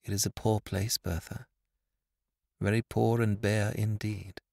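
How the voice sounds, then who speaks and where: Man reading as a kind young wife, low soft voice, slow and dry. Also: fairly even intonation, creaky, dry recording. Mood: tender.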